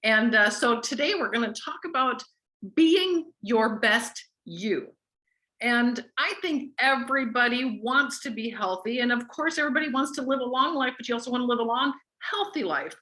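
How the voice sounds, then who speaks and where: A woman with a fairly high, bright voice hosts an informal group call. Her delivery is conversational and full of gushing enthusiasm. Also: moderate pace. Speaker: host of a weekly company group call. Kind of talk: casual hosting, informal introduction.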